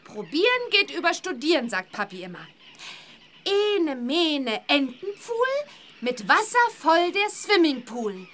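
High, bright young witch girl. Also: big pitch swings, a little slow, full character voice. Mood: confident. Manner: chirpy, outspoken, childlike. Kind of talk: German children's audio drama acting.

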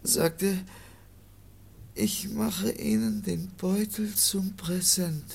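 An actor playing a wounded young man in a radio drama, husky and halting, weak with pain and struggling to speak. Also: high-pitched, darkish tone, exaggerated voice. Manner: halting, short broken statements. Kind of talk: German radio drama acting.

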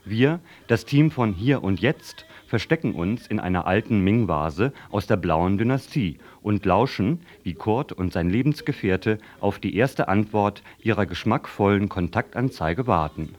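Guy as a mock serious radio reporter narrating a comedy play, lowish solemn voice. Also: lively intonation, measured pace, few pauses, darkish tone.

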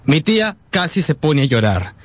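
A man playing a heartfelt narrator who tells his own youth in a scripted radio drama, with an expressive storytelling delivery. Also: fairly high pitch, moderate pace. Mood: heartfelt, reflective. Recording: music behind the narration.

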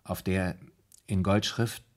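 An actor in a 1970s German radio drama playing a tormented, anxious man who haltingly confesses what haunts him, in a husky voice. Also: low-pitched, fairly even intonation. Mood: uneasy. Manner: halting, haunted confessional storytelling with broken phrases.

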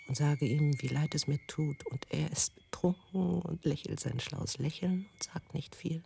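An actress playing a haunted middle-aged woman in an intimate radio drama monologue, halting and brooding, drifting into breathy near-whispers. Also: low-pitched, warm tone, a little hiss or hum. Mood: sorrowful. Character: haunted middle-aged woman recalling her stern father and lost child.

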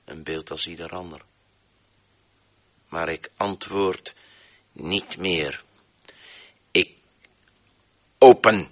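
A man acting as a brooding, anguished narrator in an avant-garde radio drama, speaking in terse, deliberate, theatrical phrases. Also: deep voice, fairly even intonation, heavily acted. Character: brooding, anguished narrator who opens and closes voices.

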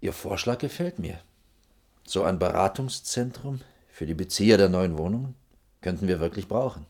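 A man's voice: low-pitched, expressive, normal speed, darkish tone. A man playing an exasperated, pragmatic architecture official in a radio drama, brusque and grudging in his delivery. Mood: sceptical, businesslike. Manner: brusque, grudging, swinging to outbursts.

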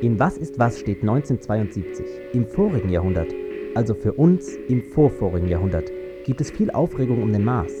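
A man's voice: lowish voice, big pitch swings, a little fast. An actor playing a factual, expository male narrator in a scripted radio drama. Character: male narrator reciting book facts on space history. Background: loud music.

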